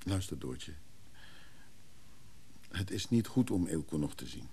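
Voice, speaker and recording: An actor playing a weary, protective man who is somewhat overwhelmed, in naturalistic radio drama dialogue, with a gravelly voice. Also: sits low, animated, a little slow, warm tone, heavily acted. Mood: soothing, concerned.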